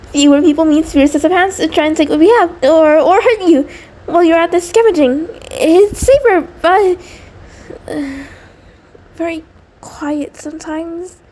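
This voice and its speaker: A woman's voice: very high voice, darkish tone. A woman playing an anxious, affectionate female centipede monster, nervous, stammering and apologetic, in an intimate roleplay audio drama. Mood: flustered, relieved.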